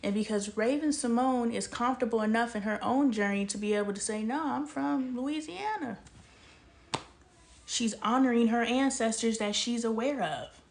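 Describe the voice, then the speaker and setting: A woman's voice: on the high side, moderate pace, vocal fry. Passionate woman from Texas, musing aloud. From El Paso, Texas. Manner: passionate, rhetorical questions, drops to near-whisper at times. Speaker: vlogger. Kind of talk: casual spiritual commentary monologue.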